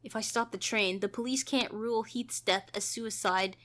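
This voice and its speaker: A woman's voice: a little high, normal speed. A woman hosting a gaming playthrough, reading a visual novel aloud theatrically and adding casual asides. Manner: expressive, theatrical. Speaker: gaming video host.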